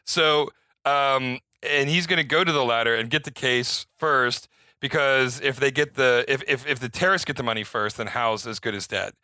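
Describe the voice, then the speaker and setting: A man co-hosting a film podcast in casual chat, agreeable and quick to affirm what is said. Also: medium pitch, bright, forceful tone, no room sound, studio quality. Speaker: podcast co-host.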